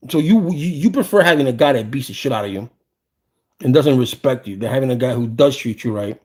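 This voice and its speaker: A man of about fifty with a gravelly voice, talking casually and with opinions, often repeating phrases for emphasis. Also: medium pitch, darkish tone. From South Bronx, New York, USA. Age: around 50. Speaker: host.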